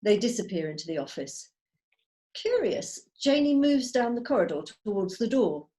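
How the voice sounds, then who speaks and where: A woman with a mid-pitched voice reads stage directions as narrator. It is scripted narration, recorded over a video call. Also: big pitch swings, normal speed, warm tone. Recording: video call table read.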